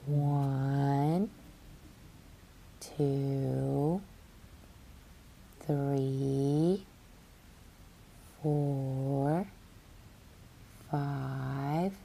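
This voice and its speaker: A woman reads a guided relaxation meditation calmly and soothingly, with long pauses and soft, breathy phrase endings. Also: rumbling low, a little hiss or hum, rough recording. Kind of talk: guided relaxation meditation, scripted read-aloud. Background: music under the voice.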